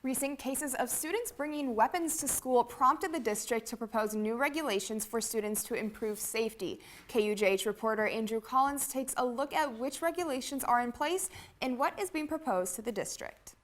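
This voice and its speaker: A woman's voice: fairly high pitch, normal speed, hardly any pauses. A woman reading scripted news as a TV anchor in a studio.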